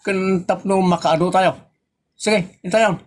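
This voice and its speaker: A man's voice: high voice, fairly even intonation, unhurried, pitched above the performer's own voice. A man with an Ilocano accent voicing an eager, pushy young son in a comedy audio drama. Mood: eager, coaxing.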